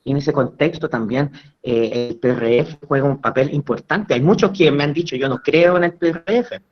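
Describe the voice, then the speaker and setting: A Chilean man offers relaxed, appreciative remarks as a guest dentist on a webinar. His voice sits slightly high for a man. The video call audio is muffled and lo-fi. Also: moderate pace, a little hiss or hum. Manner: warm, complimentary, conversational with small restarts. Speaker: guest dental surgeon. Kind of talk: online webinar commentary.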